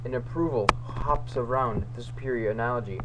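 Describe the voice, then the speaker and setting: Young man reading theatrically over loud noise. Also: mid-range voice, brisk. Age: young adult. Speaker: amateur video maker reading aloud. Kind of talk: mock-dramatic reading of scripted text. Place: small room indoors. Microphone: webcam or laptop microphone, close. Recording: noisy webcam microphone in a room.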